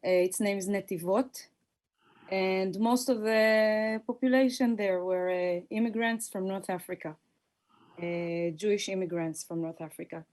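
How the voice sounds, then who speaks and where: A woman's voice: medium pitch, fairly even intonation, a little slow, darkish tone. A woman from Israel with an Israeli accent and a native Hebrew background, introducing herself as a guest musician in a thoughtful, hesitant way with many fillers. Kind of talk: conversational self-introduction.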